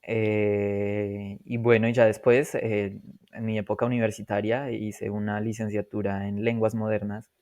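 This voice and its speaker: A Colombian man in his twenties, a podcast guest telling his story in a relaxed way, laughing often and pausing to reflect. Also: fairly low pitch, no room sound. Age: 20s. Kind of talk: casual interview storytelling.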